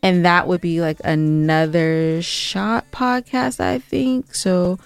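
A woman's voice: lowish voice, slow, creaky, clean tone, no room sound. A woman co-hosting a podcast, chatting casually and informally, with music playing behind her. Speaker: podcast co-host.

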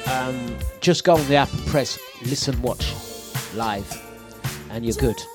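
A man's voice: medium pitch, unhurried. A man hosting a live radio show in a studio, talking in a chatty, laid-back, slangy way. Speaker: radio DJ host. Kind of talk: casual live radio chat. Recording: music playing under the voice.

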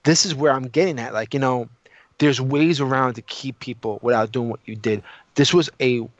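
A man's voice: mid-range voice, normal speed, no room sound, lo-fi sound. A man in casual, opinionated podcast discussion, emphatic and repetitive, often throwing out rhetorical questions. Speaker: podcast co-host.

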